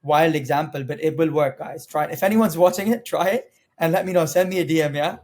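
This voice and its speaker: A man's voice: a little high, a little fast. A young man from Pakistan whose first language is Urdu, a podcast guest talking energetically and persuasively about careers. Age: young adult. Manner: energetic, persuasive, talks directly to viewers. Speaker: guest, former international student. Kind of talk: casual podcast interview.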